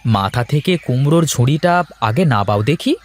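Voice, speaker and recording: A man's voice: medium pitch, animated, normal speed, close to an everyday voice. A man voicing an eager, familiar village neighbour in a cartoon folk tale, with a colloquial, calling delivery. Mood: eager haggling.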